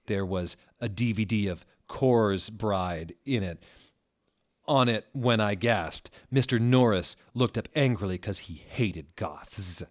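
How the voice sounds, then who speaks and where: A man narrating fan fiction in a dramatic comedic reading, with a mock-serious, deliberate and exaggerated delivery. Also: lowish voice.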